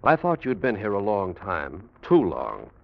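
Stern jealous young man in old radio play, lowish voice w/ big swings, muffled recording. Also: wildly swooping pitch, a little slow, gravelly, heavily acted. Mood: accusing, satisfied. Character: suspicious, resentful young society man, jealous rival. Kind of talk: old-time radio drama acting.

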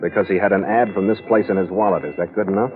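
An actor playing a hard-boiled American ship's skipper, terse and sardonic, in a vintage radio adventure. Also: male voice, low voice, fairly even intonation, quick pace, narrow, tinny audio, natural voice. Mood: wry, suspicious. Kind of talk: 1940s-50s American radio adventure acting and narration. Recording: old band-limited radio recording with music.

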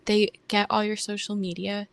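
Soft-voiced shy young woman. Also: mid-range voice, little pitch movement, normal speed, creaky. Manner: shy, hesitant, trailing off. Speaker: podcast host. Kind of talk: casual chat.

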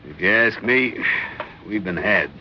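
A man's voice: on the low side, expressive, unhurried. An actor playing a skeptical, grumbling navy crewman in a radio drama, dry and sardonic. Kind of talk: 1960s radio drama acting. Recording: muffled, noisy vintage radio recording.

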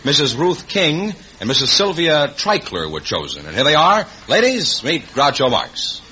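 A man's voice: on the high side, wildly swooping pitch, normal speed, pushed and bright, breathy edge. A man announcing a vintage radio show and introducing guests in a formal, projected style. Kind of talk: 1940s-50s radio announcing. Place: radio studio. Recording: dull vintage radio recording, hissy.

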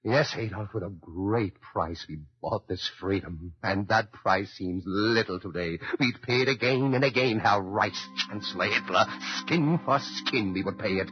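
A man's voice: on the low side, measured pace, few pauses, breathy edge. An actor in a 1940s radio drama playing a defiant immigrant American man addressing a dictator, declamatory and impassioned. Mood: solemn. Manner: impassioned, declamatory, building to shouts. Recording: lo-fi 1940s radio broadcast with orchestral music.

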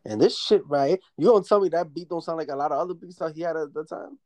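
A man in a relaxed, profane casual chat who often trails off mid-thought while making his point. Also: on the high side, fast talker.

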